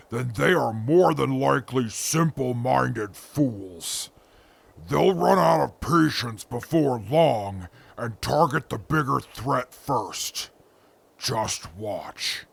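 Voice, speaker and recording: Man as a very gravelly, slow-talking wasteland commander. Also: mid-range voice, full character voice. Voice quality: gravelly, husky. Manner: slow, measured, formal. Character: grim, calculating male wasteland veteran, commanding and contemptuous. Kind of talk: post-apocalyptic audio drama.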